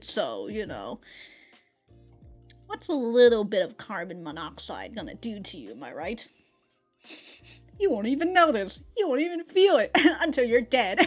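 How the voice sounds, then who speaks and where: A woman giving casual, off-the-cuff reaction commentary in a slangy, profane, giggly manner. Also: on the high side. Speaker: anime reaction reviewer.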